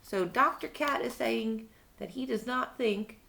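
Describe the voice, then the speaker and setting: A woman's voice: fairly high pitch, measured pace, creaky, bright, forceful tone. A woman reading a picture book to young children, asking simple questions and answering them herself. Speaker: children's read-aloud storyteller.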